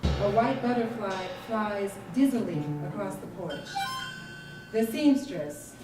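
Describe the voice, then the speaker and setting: Woman, theatrical story reader, expressive, deliberate, distant live recording, loud live music. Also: mid-range voice, very echoey. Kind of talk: dramatic literary story reading with character voices. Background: live guitar, brass and percussion music.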